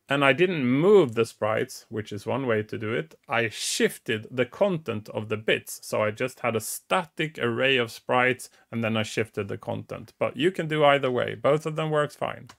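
A middle-aged man, a native Swedish speaker, explains coding topics casually as a show host. His gravelly voice sits at an ordinary pitch. He talks with enthusiasm and stumbles through many restarts and fillers, close on a headset mic in a small room. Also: fairly bright tone. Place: small dim room indoors.

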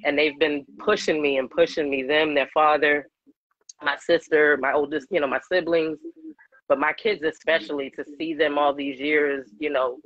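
A woman in her forties, a singer-songwriter guest with a deep voice, chatting animatedly and laughing often in an interview over a video call. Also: fairly even intonation, moderate pace, no room sound, highs cut off. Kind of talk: casual interview chat. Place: home room, video call. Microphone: webcam on video call.

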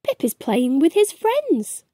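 A woman's voice: extremely high pitch, huge pitch swings, slow pace, warm tone, no room sound, studio quality, voice pushed up, full character voice. A woman voicing a friendly farmer uncle in a storybook, in a hearty, encouraging manner. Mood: pleased surprise.

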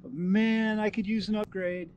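A middle-aged man filming himself fishing from a kayak, chatting in a low-key way to the camera between catches. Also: steady tone, moderate pace, a little mellow, dull audio. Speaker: kayak angler. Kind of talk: casual self-filmed commentary. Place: outdoors on a kayak on a lake. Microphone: action camera mounted on the boat. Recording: outdoor wind and water noise.

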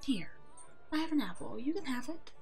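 A woman playing a kind, protective werewolf in an ASMR roleplay drama, speaking gently and reassuringly as if to a child. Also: high voice, very animated, moderate pace, faint music behind. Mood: concerned, kind. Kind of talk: ASMR roleplay audio drama, one-sided dialogue.

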